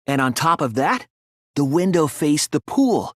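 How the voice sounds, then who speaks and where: An earnest young male detective from an anime video game. His voice is husky and swings widely in pitch as he reasons things through with deliberate care. The recording is dry and close, with no room sound. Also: big pitch swings, dry recording. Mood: earnest, reasoning. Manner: earnest, deliberate, deductive, sometimes hesitant. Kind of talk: dramatic anime game dialogue.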